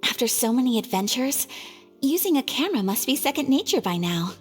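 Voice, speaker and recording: An actress playing a gracious, gentle young noblewoman in a video game, polite and formal in manner. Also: high-pitched, sing-song, brisk, faint music behind. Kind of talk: video game character acting.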